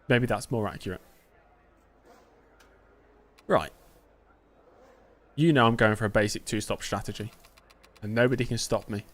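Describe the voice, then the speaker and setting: A young British man talking through a racing game live, chatty and self-mocking as he answers his chat. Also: medium pitch. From Britain. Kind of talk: casual live-stream commentary.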